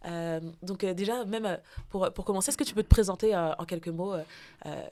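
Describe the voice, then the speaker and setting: A woman's voice: fairly low pitch, a little fast, dark tone. A woman hosting a casual podcast interview, friendly and hesitant, with many fillers. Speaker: podcast host.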